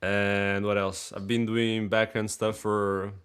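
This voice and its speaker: A man from Brazil, speaking English with a Brazilian accent as a podcast co-host, easygoing and quick to laugh, with hesitant restarts. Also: on the low side, little pitch movement, fairly bright tone, clear, resonant tone. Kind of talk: casual chat.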